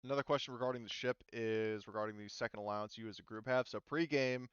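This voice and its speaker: Male game master, casual and sweary, clear resonant voice, dry and slightly dull voice-chat sound. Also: on the low side, steady tone, quick pace, dry recording. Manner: casual, profane. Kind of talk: casual tabletop game session talk.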